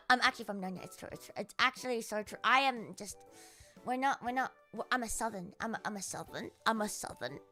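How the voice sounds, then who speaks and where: A woman's voice: a little high, fairly quick, pushed and bright, soft background music. A young woman with an Australian accent, chatting casually on a live stream and answering comments with sarcastic, swear-heavy banter and frequent laughter.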